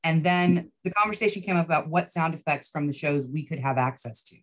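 A woman's voice: low voice, brisk, low-quality recording. A middle-aged woman talking casually as a writer guest in an interview over a video call. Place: indoor room with bookshelves. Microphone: video call microphone.

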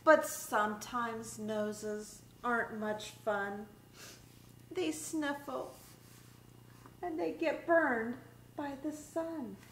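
A middle-aged woman reads a picture book aloud for children's story time, expressively and deliberately, drawing out her words. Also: a little high, steady tone, a bit bright. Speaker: special education teacher reading a picture book aloud. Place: small indoor room set up as a classroom. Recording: roomy echo, background room noise.

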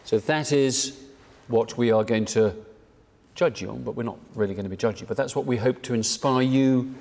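An older man with a British accent gives a prepared address from a lectern in a measured, emphatic way, as the director of an organisation. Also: mid-range voice, creaky, darkish tone. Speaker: charity director addressing seminar. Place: indoor hall, at a lectern. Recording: reverberant hall.

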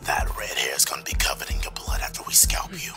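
A man's voice: extremely low pitch, wildly swooping pitch, quick pace, in a whisper, full character voice. A man playing a foul-mouthed, irritable and menacing male character in an improvised parody game dub. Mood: whispered menace. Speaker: voice actor in a comedy fan dub. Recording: music playing behind the voice.

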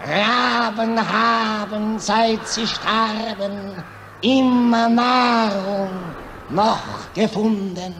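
An actor voicing a mocking carrion raven, declaiming verse in a drawn-out, theatrical 1940s radio drama style. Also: male voice, sits high, vocal fry, exaggerated voice. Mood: mocking, gloating. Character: mocking carrion raven feeding on the war dead; not human, a bird. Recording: noisy 1940s radio recording.

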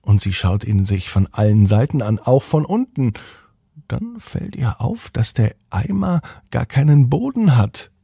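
A man's voice: mid-range voice, very animated, unhurried, gravelly, mellow, breathy edge, no room sound, studio quality. A man hosting a children's podcast, narrating a bedtime story expressively and speaking directly to children.

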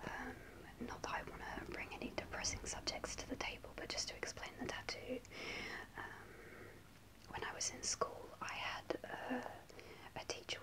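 A young woman telling a personal story in a soft, hesitant ASMR whisper, close to the camera in a small room. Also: rough recording. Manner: soft unvoiced whisper, hesitant with many ums. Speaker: ASMR creator. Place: small indoor room before a starry backdrop. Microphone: close to a camera at face level.

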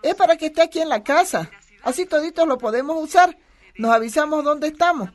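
A woman's voice: high-pitched, lively intonation, brisk. A woman playing a folksy, affectionate elderly Bolivian grandmother in a radio drama. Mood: reassuring. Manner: affectionate, folksy, uses many diminutives.

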